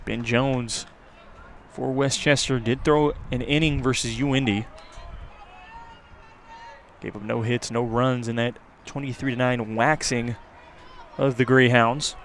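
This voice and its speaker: A man's voice: mid-range voice, steady tone, a little slow, pauses often, a bit bright, slight background noise. Male baseball play-by-play announcer. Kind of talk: live baseball radio commentary.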